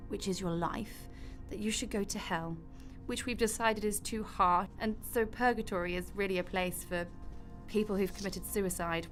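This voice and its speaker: A woman's voice: mid-range voice, lightly acted. A woman acts out God for a radio play, presented as a breezy mother with paperwork to get through. The delivery is casual and matter-of-fact, with dry wit. Music plays behind the voice. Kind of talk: radio drama acting.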